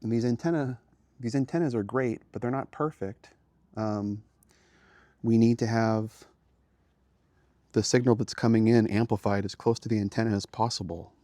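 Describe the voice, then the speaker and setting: Low-voiced man giving a casual tour. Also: steady tone, normal speed, pauses often, mellow, no echo, clean studio sound. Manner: explanatory, plain-spoken. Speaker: host, amateur radio hobbyist. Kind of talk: casual walk-through tour. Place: outdoors by a house, then garage and small radio room.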